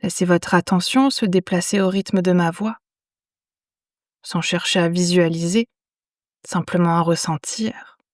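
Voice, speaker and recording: A woman leads a guided relaxation in a fairly low voice. She speaks very slowly and soothingly, leaving long pauses between phrases. The sound is dry and close, with very little room in it. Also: steady tone, no room sound. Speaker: yoga nidra guide. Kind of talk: guided meditation.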